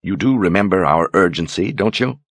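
A man voicing an imperious, impatient sorceress who gives sharp, clipped orders. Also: low-pitched, lively intonation, moderate pace, no room sound, studio quality. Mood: commanding.

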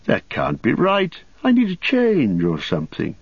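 A man's voice: medium pitch, huge pitch swings, a little slow, slightly rough, no echo, dull audio, voice pushed up, full character voice. A man voicing an old, kindly but prickly and possessive hobbit in an audiobook, with an agitated, defensive manner. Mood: weary, wistful. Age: older. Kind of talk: audiobook character acting.

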